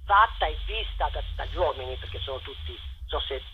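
A woman directing a play, talking in a radio interview in an animated, rambling way full of restarts. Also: low voice, fairly quick, highs cut off, slight background noise. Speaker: theatre director.

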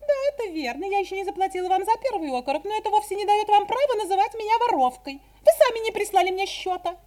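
Very high-pitched sly lady fox. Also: lively intonation, quick pace, dark tone. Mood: teasing, mock-polite. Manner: theatrical, mock-polite, exclaiming and musing. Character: cunning female fox, teasing, sly and self-satisfied. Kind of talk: 1970s Soviet audio play acting for children.

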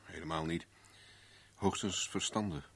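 An actor with a Netherlands Dutch accent playing a shrewd, self-assured private investigator in a 1970s radio play, measured, persuasive and knowing. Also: male voice, low-pitched, fairly even intonation, gravelly, a bit bright, breathy edge, heavily acted. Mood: quiet, serious.

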